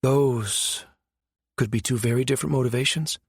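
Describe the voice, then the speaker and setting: A man voicing a serious, quietly urgent woman scientist with a measured, firm manner in a science fiction audiobook. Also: mid-range voice, slightly rough, dry recording, clean studio sound. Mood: uneasy.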